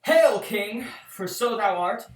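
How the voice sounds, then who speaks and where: A man's voice: sits high, animated, slow pace, rough recording. A young man playing a vengeful, righteous warrior nobleman in a Shakespearean radio play, declaiming in verse. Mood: triumphant. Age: young adult. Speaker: student actor.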